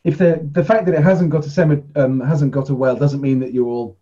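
A man's voice: a little high, fairly even intonation, fairly quick, dark tone, dull audio. A man casually running a tabletop role-playing game and explaining the rules as game master.

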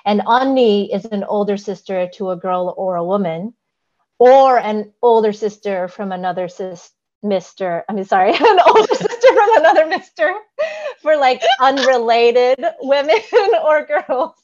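A woman's voice: a little high, expressive, moderate pace, darkish tone, highs cut off. A woman co-hosting a podcast, explaining things carefully in casual chat, with frequent "um"s and a giggly manner. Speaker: podcast co-host.

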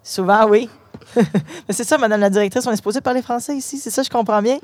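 A woman's voice: fairly high pitch, fast, a little mellow, dry recording. A woman with a Canadian French accent hosting a casual, chatty interview with schoolchildren and their principal. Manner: friendly, chatty, restarting phrases. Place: inside a parked truck.

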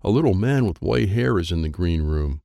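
A man's voice: sits low, animated, normal speed, creaky, warm tone, no echo, clean studio sound. A man narrating a children's story in the first person as a child, in short, simple phrases. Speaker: audiobook narrator.

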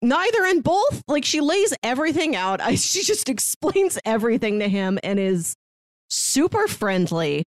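A woman co-hosting a podcast, chatting casually and telling stories in an animated way, acting out the lines she quotes. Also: high-pitched, moderate pace, no room sound, clean studio sound. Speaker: podcast host. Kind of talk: casual chat about a manga.

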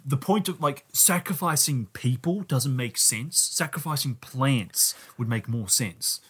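A man's voice: medium pitch, unhurried, fairly bright tone. A man playing a fussy captive in improvised comedy, interjecting insistently with pedantic objections. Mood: pedantic protest. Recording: clear background noise.

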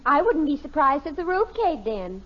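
A high-voiced, sulky teenage girl, complaining with big swoops. The old radio comedy recording is muffled. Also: big pitch swings, moderate pace. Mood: peeved, dramatic. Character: peeved, dramatic teenage daughter. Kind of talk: vintage radio sitcom acting.

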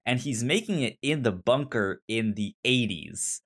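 A man co-hosting a podcast in casual conversation, with a stutter that repeats sounds and words and a halting delivery. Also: medium pitch, animated, a bit bright. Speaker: podcast co-host. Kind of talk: casual chat discussing a TV series.

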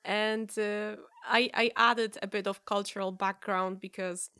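A woman from Poland with a Polish accent, a guest chatting casually on a podcast and telling stories with lively restarts. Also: medium pitch, measured pace, smooth, no room sound, clean studio sound. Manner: lively storytelling with many restarts. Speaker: guest improviser.

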